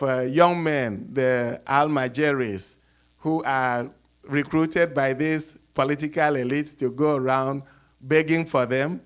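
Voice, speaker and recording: A man speaking at an academic panel, measured and hesitant with frequent restarts. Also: fairly high pitch, clean tone. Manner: measured, many fillers and restarts. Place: conference room.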